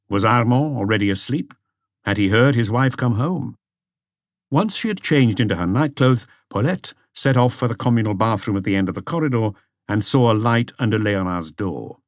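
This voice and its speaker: A man narrates a mystery audiobook in a fairly low voice. The recording is close and dry, with very little room around the words. He reads from a script as a storyteller. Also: no echo. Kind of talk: scripted narration of a detective novel.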